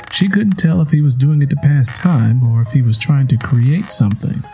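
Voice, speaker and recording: A man's voice: mid-range voice, mellow, low-quality recording. A man reading a story aloud as scripted audiobook narration.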